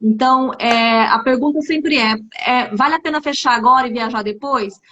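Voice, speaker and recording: A woman's voice: fairly high pitch, normal speed, fairly bright tone. A woman from Brazil hosting a casual live stream for an exchange agency, talking in an upbeat, chatty way and often checking in with "né?". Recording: slightly dull, lo-fi live-stream audio.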